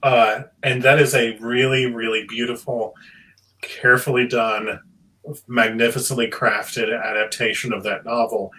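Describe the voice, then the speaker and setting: A man's voice: medium pitch, flat delivery, unhurried, pushed and bright, a little hiss or hum, low-quality recording. A man speaking casually but emphatically and articulately as a panelist in a film discussion.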